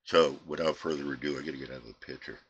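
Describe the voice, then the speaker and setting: Very deep-voiced American man, rambling. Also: fairly even intonation, muffled recording. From United States. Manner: rambling, halting, trailing off. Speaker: CB radio hobbyist presenting his equipment. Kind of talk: casual unscripted show-and-tell. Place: indoor radio bench crowded with equipment. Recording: clear background noise, room ambience.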